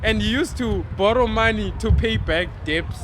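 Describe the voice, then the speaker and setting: A man's voice: high-pitched, moderate pace, background noise. A young man from Africa co-hosting a podcast, joking crudely and laughing often in a casual chat. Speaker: podcast co-host.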